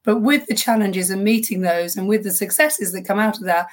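Slightly husky woman, middle pitch, earnest founder giving a reflective interview answer. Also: fairly quick. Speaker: small business co-founder.

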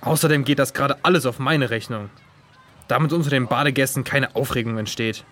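A man's voice: medium pitch, brisk, a bit bright, natural voice. A man playing a smug but obliging resort owner in a children's audio drama. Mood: self-assured.

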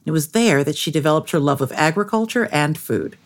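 A woman's voice: low-pitched, animated, a little fast. A woman narrating a scripted documentary piece as its interviewer.

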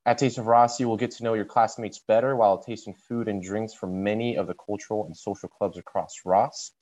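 A young man from Brazil co-hosts a podcast. His voice sits low, and he reads the announcements in a steady way. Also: fairly quick, muffled recording. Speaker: podcast co-host. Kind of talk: reading announcements, then casual chat.

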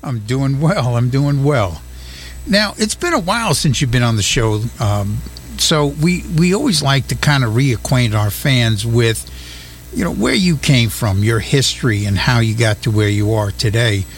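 Male radio host, animated intonation, relaxed conversational manner, faint background hiss. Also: medium pitch, measured pace. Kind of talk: radio interview.

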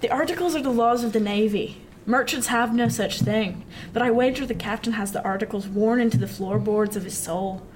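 A woman acts out a seasoned merchant sailor man for a radio play. The voice sits fairly high, wry and confiding, full of old-fashioned nautical turns of phrase. There is clear background noise around it. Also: a little fast, few pauses, lightly acted, poor audio. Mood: wry, explaining. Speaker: actor. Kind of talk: radio drama acting.

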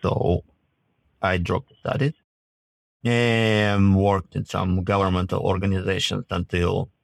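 Deep gravelly man. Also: little pitch movement, no echo. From grew up in the Russian Caucasus. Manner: halting, restarts phrases, laughs easily. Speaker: podcast guest, ice climber. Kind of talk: casual interview talk.

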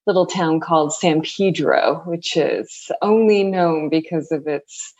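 A woman's voice: low-pitched, a little slow, darkish tone. Wry woman on muffled remote audio. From Southern California. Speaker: guest. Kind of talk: interview answers.

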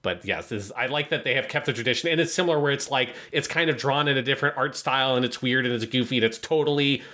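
A man's voice: medium pitch, fast talker, sharp tone. A man co-hosting a podcast, casually talking through an in-depth review in a rambling, hesitant way with frequent swearing. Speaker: podcast co-host.

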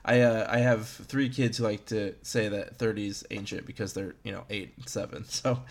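A man's voice: fairly low pitch, steady tone, normal speed, gravelly. A man in his early thirties chatting casually as a podcast host about video games, in a rambling, self-deprecating way. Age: early 30s.

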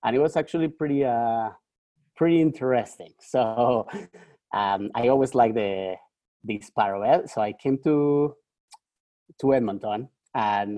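Low, mellow-voiced man with a Mexican accent. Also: dry recording. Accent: Mexican Spanish. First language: Spanish. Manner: hesitant, many ums and restarts, self-deprecating humor. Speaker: guest. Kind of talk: casual interview storytelling.

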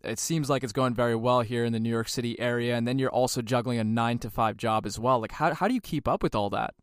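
A man's voice: medium pitch, quick pace, clear, resonant tone, dry recording, clean studio sound. A man hosting an interview, enthusiastic and upbeat, using lots of casual fillers. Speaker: podcast host.

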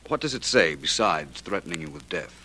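Deep-voiced, suave radio detective. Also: male voice, big pitch swings, fairly quick, pushed and bright, exaggerated voice. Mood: grave, measured. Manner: urbane, courtly, wry. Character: suave, quick-witted male private detective. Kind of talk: old-time radio drama acting. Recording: faintly noisy old radio recording.

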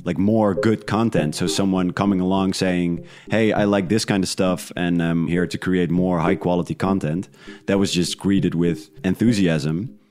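A man's voice: deep voice, steady tone, moderate pace, darkish tone. A man from the Netherlands, a podcast creator, talking casually and a little hesitantly as an interview guest.

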